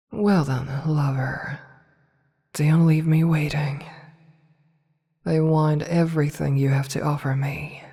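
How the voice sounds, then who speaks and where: A woman performs an evil empress in a fantasy ASMR roleplay, her voice low, mellow and breathy, often sinking toward a whisper. She speaks with slow, possessive authority and a seductive, teasing edge. Long reverb surrounds her, as in a great hall. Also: heavily acted. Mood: sultry, demanding. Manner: slow, teasing, imperious, deliberate.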